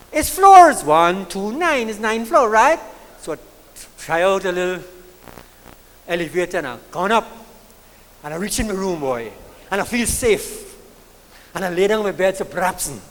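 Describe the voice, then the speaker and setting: Guyanese comedian with a high voice. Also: male voice, fairly bright tone, big-room sound. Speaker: stand-up comedian. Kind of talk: live stand-up storytelling. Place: live comedy venue with an audience.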